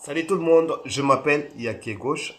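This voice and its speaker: A man's voice: on the high side, moderate pace, very noisy. A young man from Kinshasa introducing himself as a singer in a friendly, casual way, with hesitant fillers. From Congo, Kinshasa. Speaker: singer promoting his music. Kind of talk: casual self-introduction to camera.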